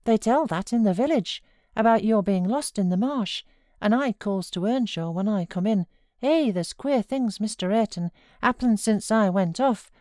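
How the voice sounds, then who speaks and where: A woman's voice: mid-range voice, expressive, moderate pace, a little mellow, no room sound, clean studio sound, voice pushed up, natural voice. A woman voicing a gossipy, good-natured country servant in a novel, exclaiming in rural dialect. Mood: gossiping.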